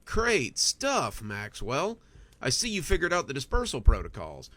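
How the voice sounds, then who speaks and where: A man's voice: mid-range voice, huge pitch swings, unhurried, pushed and bright, no room sound, pitched above the performer's own voice, full character voice. A man voicing a pleased, hearty male boss in a story, speaking with approving enthusiasm. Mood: impressed, approving. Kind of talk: audiobook character dialogue.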